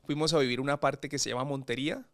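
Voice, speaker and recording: A Colombian man, a lawyer being interviewed, talking in a relaxed, thoughtful and measured way with a Colombian accent. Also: mid-range voice. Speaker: guest lawyer. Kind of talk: conversational interview.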